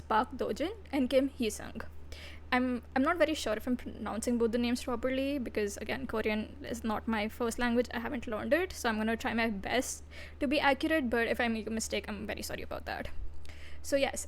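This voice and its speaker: High-voiced woman, blunt and profane. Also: slight background noise. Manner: blunt, profane, with giggly asides. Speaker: VTuber reviewer. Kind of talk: casual livestream review.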